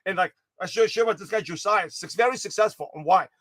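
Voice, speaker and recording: A man giving an energetic livestream pep talk to his viewers, his voice hoarse and slightly raspy, rambling with many restarts and self-corrections. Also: high-pitched, quick pace, dry recording, studio quality. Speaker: motivational speaker giving a daily pep talk.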